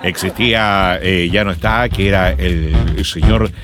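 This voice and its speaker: A man's voice: sits low, fairly even intonation, a little slow. A middle-aged man with a Chilean accent hosting a radio show in casual, joking chat. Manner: jovial, breaks into mimicked voices. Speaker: radio host.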